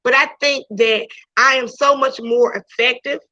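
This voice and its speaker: A woman's voice: high voice, steady tone, a little slow, no room sound. A woman teacher talking casually to her online class, chatty, with many restarts and "you know"s, over a video call. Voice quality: loud voice. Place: home during a video class. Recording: dull lo-fi video call audio.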